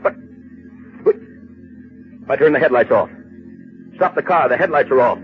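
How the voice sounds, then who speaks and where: A man's voice: medium pitch, lively intonation, normal speed, faint music behind, lightly acted. An actor playing a pompous, cowardly, bad-tempered rich man, blustering and sputtering in old radio horror style. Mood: irate. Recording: muffled, narrow-band old radio recording.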